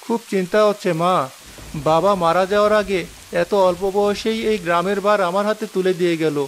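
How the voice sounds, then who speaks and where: A woman voicing a young man who has just become a village headman, earnest and dutiful, in a deep put-on voice. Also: steady tone, fairly quick, a little hiss or hum, close to an everyday voice.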